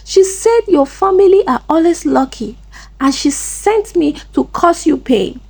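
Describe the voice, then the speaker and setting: A woman's voice: high voice, sing-song, unhurried, a little mellow, voice pushed up, heavily acted. A woman voicing a guilty, frightened young house girl in a folktale, pleading and dramatic. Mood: frantic confession.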